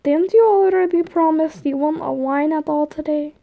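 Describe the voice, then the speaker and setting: A woman's voice: high-pitched, normal speed, gravelly, lo-fi sound. A woman voicing an exasperated, teasing man looking after a boy in a story, scolding and bargaining wearily. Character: exasperated, teasing, busy male guardian of a boy.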